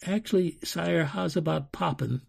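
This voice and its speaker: Guy doing a streetwise little orphan kid from a storybook, higher voice, slangy n urgent, bit husky. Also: normal speed, heavily acted. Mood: nervous, placating. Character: scrappy, streetwise orphan boy.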